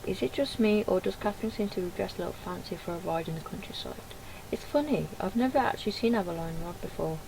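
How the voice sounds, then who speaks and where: A woman with a slightly low voice reads story dialogue from a game aloud and drops into casual commentary. The home recording has clear background noise. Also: animated, quick pace. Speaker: gaming video creator.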